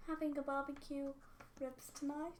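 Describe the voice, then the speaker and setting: High-voiced woman in an echoey room. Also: little pitch movement, normal speed, a little mellow. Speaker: co-host chipping in from the side. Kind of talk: casual off-the-cuff chat. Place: studio. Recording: roomy, echoing studio sound.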